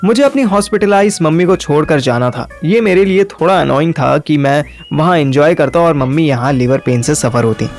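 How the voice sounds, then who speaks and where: A man's voice: fairly high pitch, animated, moderate pace, hardly any pauses, a little mellow, soft background music. A man narrating a first-person story and acting its dialogue in colloquial Hindi mixed with English. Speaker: narrator and lead character of an audio story.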